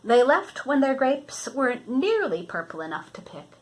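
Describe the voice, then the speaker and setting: An older woman reads a picture book aloud in a fairly high voice. Her pitch swings widely and expressively, and she stretches words out for emphasis as she narrates. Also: sing-song, measured pace. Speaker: children's story reader. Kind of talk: read-aloud storybook narration.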